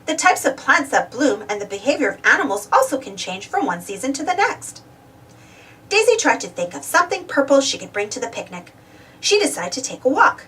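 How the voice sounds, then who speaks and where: Female children's read-aloud narrator, high register, hugely lively intonation, slightly distant mic. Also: a little fast. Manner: expressive, simple delivery for young children. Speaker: storybook reader.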